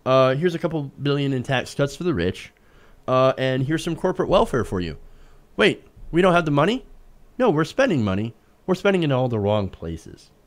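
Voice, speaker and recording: A man's voice: medium pitch, sing-song, normal speed. Emphatic man giving political commentary. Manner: emphatic, argumentative, rhetorical questions. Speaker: political talk show co-host. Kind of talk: opinionated news commentary.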